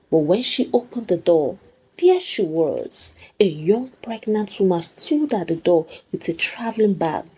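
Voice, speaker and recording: A woman's voice: on the low side, dark tone, slightly rough, poor audio. A woman storyteller reading a scripted folktale as dramatic narration.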